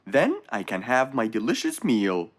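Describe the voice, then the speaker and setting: A kindly cartoon fox, played by a woman, patient and reassuring. The speech is slow and hugely expressive, at a medium pitch, with music clearly underneath. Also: exaggerated voice. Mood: hungry, musing. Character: Mister Fox, kindly helpful cartoon fox handyman. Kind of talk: children's cartoon voice acting.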